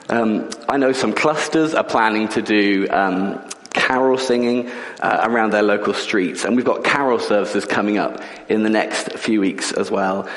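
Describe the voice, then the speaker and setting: A man preaching in a church, croaky from a cold and often clearing his throat. His chatty, joking sermon carries a long reverb from the hall around him. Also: lowish voice. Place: church building.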